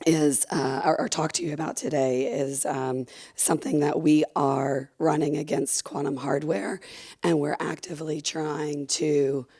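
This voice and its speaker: Hoarse low-voiced woman at a conference. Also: a little mellow. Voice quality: gravelly, low. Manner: many fillers and restarts, enthusiastic. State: losing her voice, hoarse. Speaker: conference speaker. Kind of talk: conference presentation. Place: conference room with audience. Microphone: handheld microphone.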